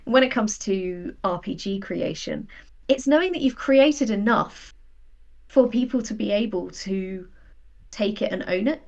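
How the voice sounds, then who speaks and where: A woman's voice: mid-range voice, animated, unhurried, creaky, a little mellow, muffled recording. A woman speaking as a guest writer in a reflective interview conversation, candid and explanatory about her own doubts. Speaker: guest, audio drama writer.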